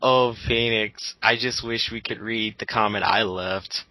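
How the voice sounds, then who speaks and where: A woman's voice: extremely low pitch, fairly even intonation, unhurried, pushed and bright, slight background noise, rough recording. A woman giving casual, opinionated commentary in an emphatic, sarcastic way, with some swearing. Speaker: co-host of an online dramatic reading.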